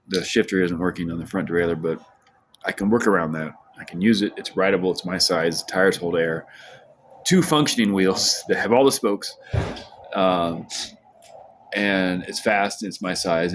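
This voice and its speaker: A man's voice: deep voice, moderate pace, a little hiss or hum. A man recording a casual morning vlog outdoors beside a supermarket, talking in a rambling, conversational way with frequent restarts. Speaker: vlogger.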